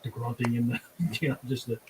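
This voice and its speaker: A man's voice: medium pitch, steady tone, brisk, a little hiss or hum, poor audio. A man giving his opinion in casual, informal chat.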